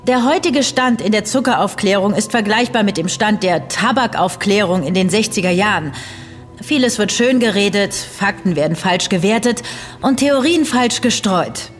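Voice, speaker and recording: A woman's voice: mid-range voice, lively intonation, normal speed, close to an everyday voice. An actress playing a polite, composed, well-informed woman in a German audio drama, articulate and matter-of-fact. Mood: critical, composed. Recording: reverberant hall with music underneath.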